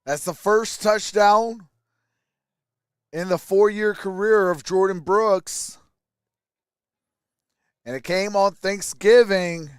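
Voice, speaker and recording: Sports stream host, male. High, clean voice, unhurried delivery, casual play-by-play and chat replies. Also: smooth, no echo, clean studio sound. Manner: casual, reads viewer comments aloud.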